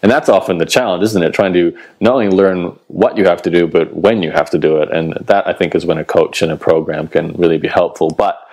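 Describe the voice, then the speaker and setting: A man from Australia with a Canadian-sounding accent, hosting a podcast interview in a relaxed, thoughtful way with brief fillers. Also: low-pitched, fairly quick, few pauses. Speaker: podcast host. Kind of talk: casual interview wrap-up.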